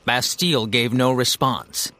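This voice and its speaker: A man's voice: mid-range voice, measured pace, pushed and bright. An actor playing a wry, self-mocking young hero who narrates his own adventure in an audio drama, confiding. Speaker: first-person narrator.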